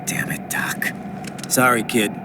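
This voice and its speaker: An actor playing a gruff, principled cyborg mercenary in a video game, terse and blunt in manner. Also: male voice, slow, whispered, close to an everyday voice. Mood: calm, stern. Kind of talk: video game cutscene acting.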